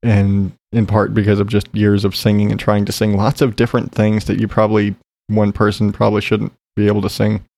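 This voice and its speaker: A man's voice: on the low side, completely flat delivery, a little fast, gravelly, darkish tone, dry recording. A man talking in a casual solo podcast, rambling with lots of fillers and casual swearing, still congested after being sick. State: recovering from illness, congested.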